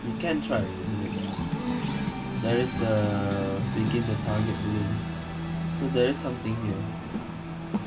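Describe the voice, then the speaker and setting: Woman muttering gameplay commentary over loud music. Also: a bass voice, slow, long pauses, low-quality recording. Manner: muttering, thinking aloud in short phrases. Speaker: gaming streamer playing through a game. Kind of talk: casual let's play commentary. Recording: loud game music behind.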